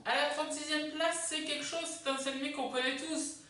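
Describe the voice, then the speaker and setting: A YouTube host casually presenting a countdown video in a chatty, halting way. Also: sits high, fairly even intonation, bright, forceful tone, a little hiss or hum. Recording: echoey room, distant microphone.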